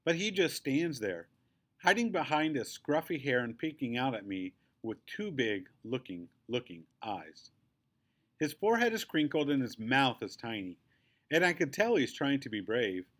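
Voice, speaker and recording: Male storybook reader, expressive and animated, with lively, varied intonation. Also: medium pitch, normal speed. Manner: animated, acts out the dialogue. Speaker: reader reading a children's novel aloud. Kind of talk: expressive read-aloud storytelling.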